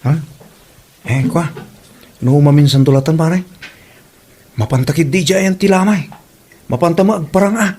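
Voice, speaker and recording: A man's voice: a little high, normal speed, long pauses, a little mellow, slight background noise, lightly acted. A man playing a plain-spoken, grumbling village husband in an amateur comedy drama, with a Filipino Ilocano accent. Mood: casual, persuading.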